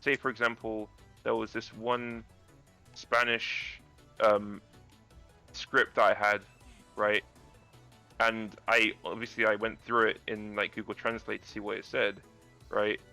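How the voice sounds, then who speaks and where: A man with a naturally deep voice, a guest actor chatting casually about acting, rambling and restarting his sentences. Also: level tone, unhurried, gravelly, pauses often, sharp tone, soft background music.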